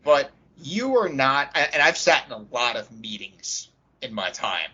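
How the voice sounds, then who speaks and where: A man's voice: mid-range voice, very animated, measured pace, bright, forceful tone, breathy edge, dull audio. A man in casual, opinionated chat as a podcast co-host about tabletop games, direct and emphatic when giving advice.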